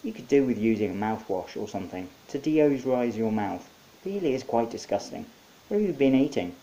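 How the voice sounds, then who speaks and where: A man's voice: on the low side, very animated, a little fast, warm tone, poor audio. A man voicing a baffled, blunt and sarcastic young everyman in a story. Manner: blunt, sardonic, hesitant when puzzled.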